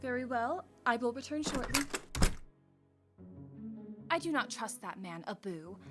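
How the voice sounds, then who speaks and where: A woman's voice: fairly high pitch, lively intonation, a little slow, over loud music. An actress playing a shrewd, loyal female slave in a radio drama, speaking formally and watchfully. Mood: dutiful, then suspicious. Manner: formal, deferential.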